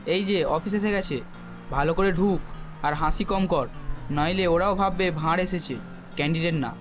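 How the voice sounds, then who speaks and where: High-voiced teasing cabbie played by a man, loud music. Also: moderate pace, natural voice. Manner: needling, joking. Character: teasing male taxi-driver friend, sardonic. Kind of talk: comedy audio drama acting.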